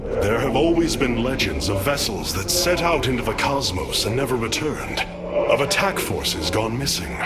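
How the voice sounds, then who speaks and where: A man's voice: medium pitch, big pitch swings, normal speed, heavy background noise. An actor playing a noble, resolute male alien commander in a video game, speaking in a formal, stately and earnest way. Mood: grave. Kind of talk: scripted game cinematic acting.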